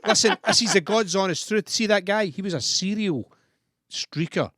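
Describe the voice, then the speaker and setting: Scottish man, guest storyteller, laughs a lot; slightly high voice, dry close mic. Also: normal speed, fairly bright tone, dry recording. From Scotland. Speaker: podcast guest. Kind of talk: casual chat.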